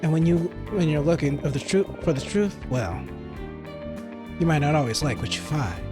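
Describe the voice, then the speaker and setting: Stern, seasoned detective read by a game player, solemn and lecturing, music clearly underneath. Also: medium pitch, expressive, moderate pace, lightly acted. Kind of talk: reading visual novel dialogue aloud. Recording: music behind the voice.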